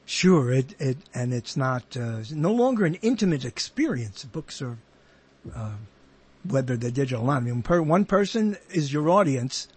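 A man hosting a conversational interview, speaking in a halting, rambling way and often repeating himself. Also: mid-range voice, big pitch swings, darkish tone, breathy edge. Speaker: interviewer, host.

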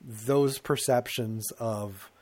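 A man's voice: mid-range voice, steady tone, extremely slow, darkish tone. A middle-aged man with a mid-range voice co-hosts a casual, comic talk show. He rambles in a self-mocking way and acts out his own quotes as he goes.

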